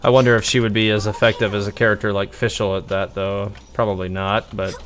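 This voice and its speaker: A man's voice: on the low side, flat delivery, a little fast, a bit bright. A man giving casual, rambling live gameplay commentary, thinking aloud as he streams. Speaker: game livestreamer.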